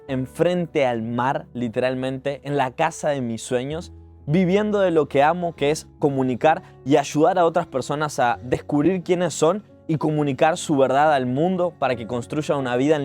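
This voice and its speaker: A man's voice: a little high, normal speed, darkish tone. A man in his twenties with a Uruguayan accent, telling a personal story in an earnest, conversational, reflective way. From Montevideo, Uruguay. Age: 20s. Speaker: digital entrepreneur telling their life story. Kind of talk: personal storytelling vlog, spoken to camera. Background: music.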